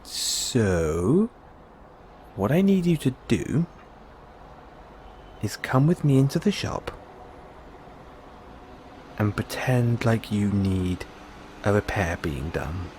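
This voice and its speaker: A man acts out an inquisitive male journalist in an audio roleplay, coaxing and reassuring his android companion. His lowish voice swings expressively and moves at a leisurely, hesitant pace, as if thinking aloud. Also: very animated, slow pace, pauses often, a little mellow, a little hiss or hum. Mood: reassuring, persuasive. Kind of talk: intimate one-sided audio roleplay acting.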